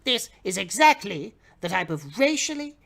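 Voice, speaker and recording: Bright-voiced man giving a dramatic reading. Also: high voice, very animated, normal speed, creaky. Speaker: reader of comic fiction on a livestream. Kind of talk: dramatic audiobook-style reading.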